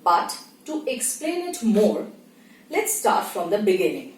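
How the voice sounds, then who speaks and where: A woman's voice: medium pitch, big pitch swings, a little slow. A woman with an Indian accent teaching in an emphatic, instructive manner, often posing questions and answering them herself. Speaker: voice and speech teacher. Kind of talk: spoken tutorial with acted examples. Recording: echoey room, distant microphone.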